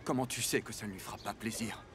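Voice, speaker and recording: A man's voice: fairly low pitch, steady tone, fast talker, a crowd in the background, natural voice. A man playing a stubborn, lovestruck suitor, earnest and insistent, in a French film dub. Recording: clear background ambience noise.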